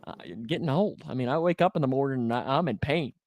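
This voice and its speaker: A man's voice: mid-range voice, expressive, fast, a little mellow, no room sound. A man in his twenties hosting a casual sports talk show, speaking emphatically and repeating phrases to drive them home. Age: 20s. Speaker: sports show host and broadcaster.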